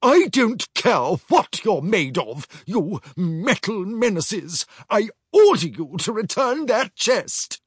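A man voicing an indignant naval commander yelling at pirates in a storybook reading. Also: high voice, big pitch swings, drawn-out words, hardly any pauses, slightly rough, dry recording, studio quality, voice pushed up, exaggerated voice. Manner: indignant, shouting. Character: indignant naval ship commander, shouting orders.

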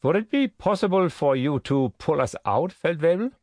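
A man's voice: a little high, expressive, moderate pace, darkish tone, no room sound, clean studio sound, pitched above the performer's own voice. A man voicing a haughty, wry German SS captain in an audiobook, pompous and over-polite with open disdain. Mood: suave.

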